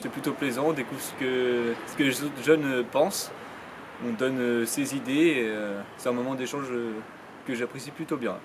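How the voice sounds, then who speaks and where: An eighteen-year-old man, a plumbing apprentice, speaks in an interview in a relaxed, hesitant way. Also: mid-range voice, normal speed, noisy background.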